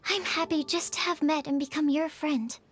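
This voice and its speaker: A woman voicing a shy, kind young researcher in a video game, speaking in a gentle, earnest way. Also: high voice, steady tone, normal speed, soft background music. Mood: sincere.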